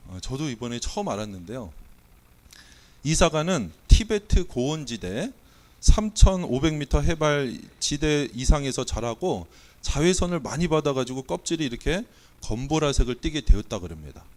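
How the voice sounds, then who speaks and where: A man's voice: mid-range voice, sing-song, moderate pace, darkish tone. A Korean man, a pastor, preaching a sermon with a weak voice from a cold, playfully quizzing the congregation and running a mock auction. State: has a cold, voice not coming out well. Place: church sanctuary.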